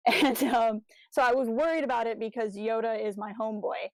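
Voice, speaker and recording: Playful, chatty woman with a higher voice. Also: normal speed, dry recording, rough recording. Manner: playful, jokey enthusiasm. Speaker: podcast host. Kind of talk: casual fan podcast chat.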